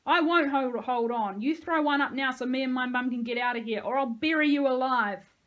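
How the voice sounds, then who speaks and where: Woman acting as eleven-year-old boy, storybook character, raised pitch, loud tearful demands, desperate and threatening. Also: slow pace, highs cut off. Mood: furious, demanding.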